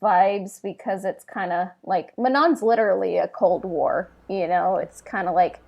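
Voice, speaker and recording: A woman's voice: mid-range voice, a little slow, creaky, a little mellow. A woman co-hosting a casual podcast discussion, informal and thinking aloud in fragments. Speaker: podcast co-host.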